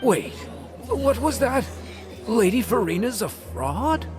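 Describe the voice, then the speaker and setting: A man's voice: very high voice, sing-song, takes it slowly, music in the background, exaggerated voice. A man playing a doubtful, protesting townsperson in a video game courtroom. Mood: startled, protesting.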